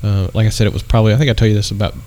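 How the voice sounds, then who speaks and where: A man co-hosting a casual podcast, telling stories in a relaxed way with lots of fillers. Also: fairly low pitch, racing. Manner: relaxed storytelling with many fillers. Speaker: podcast co-host. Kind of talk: casual chat.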